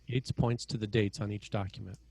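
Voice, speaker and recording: Low-pitched man narrating a screenplay. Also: steady tone, fairly quick, vocal fry, dark tone, a little hiss or hum. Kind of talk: scripted narration of screenplay stage directions.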